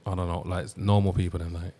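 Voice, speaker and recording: A man hosting an interview, asking slow, hesitant questions full of fillers. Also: sits low, flat delivery, warm tone. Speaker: podcast host. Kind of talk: casual interview.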